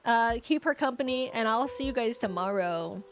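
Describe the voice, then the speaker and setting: A woman's voice: a little high, expressive, moderate pace. Scratchy-voiced young woman chatting. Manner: rambling, giggly, lots of fillers and restarts. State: voice getting scratchy. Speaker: streamer. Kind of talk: casual chat with live chat.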